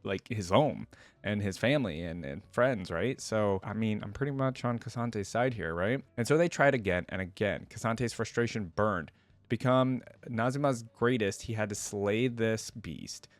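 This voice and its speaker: A man's voice: medium pitch, no room sound. A man hosting a reaction video, talking casually and reading aloud into a close microphone.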